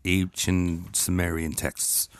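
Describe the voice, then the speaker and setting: A man's voice: deep voice, level tone, slow pace, vocal fry. A man co-hosting a podcast, chatting and joking casually. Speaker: podcast co-host.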